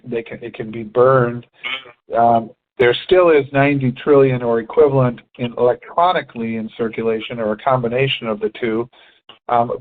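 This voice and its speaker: A man co-hosting a financial conference call, explaining in measured, halting phrases over a tinny telephone line. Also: medium pitch. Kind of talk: explanatory discussion, partly reading articles aloud.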